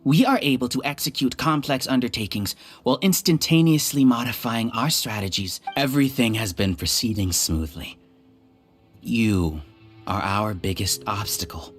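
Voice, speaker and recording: A woman playing an arrogant, scheming hive-mind villain in a video game, speaking in measured, grandiose, condescending phrases over music. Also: rumbling low. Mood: cold, domineering. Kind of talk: scripted video game voice acting.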